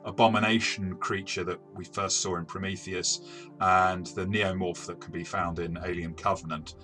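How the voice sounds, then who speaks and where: A man's voice: low voice, steady tone, moderate pace, pushed and bright, soft background music. A man co-hosting a review, talking in a casual, discursive and explanatory way. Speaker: co-host and game reviewer.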